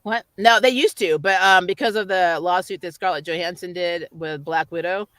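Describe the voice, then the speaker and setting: A woman's voice: on the low side, normal speed, fairly bright tone, no room sound. A woman hosting a pop culture show, chatting casually about shows in an informal, opinionated, rambling way. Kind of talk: casual chat, reviewing shows.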